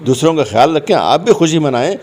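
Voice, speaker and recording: A man's voice: fairly high pitch, big pitch swings, brisk, a little mellow. A man answering interview questions conversationally, explaining his points and repeating phrases for emphasis. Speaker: interview guest, commentator.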